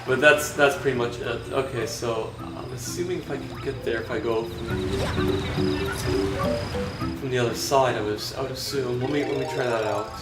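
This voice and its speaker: A young man with a dry, flat-sounding voice talks in halting, rambling bits, full of restarts and fillers, while he plays a video game. His voice sits at an ordinary middle pitch. It rings in an echoey room, with game music clearly playing behind him. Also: far from the mic. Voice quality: dry monotone voice. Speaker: vlogger.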